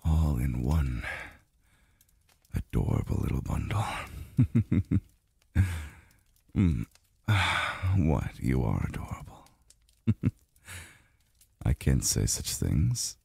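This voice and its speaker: A man playing a calm, devoted male lover in an intimate ASMR roleplay, murmuring softly and slowly, close to the mic. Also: no room sound, heavily acted. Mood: relieved, tender, then playful, affectionate. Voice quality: very deep, breathy, gravelly. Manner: slow, soft murmuring with sighs. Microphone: close mic, dry sound.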